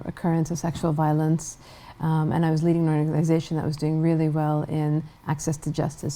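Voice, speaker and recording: A middle-aged woman from Guyana speaks as a television interview guest, her voice low and warm-toned. She answers in a measured, thoughtful way, often pausing on an "um" or restarting a phrase. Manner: measured, with frequent restarts and ums. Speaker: interview guest, human rights director.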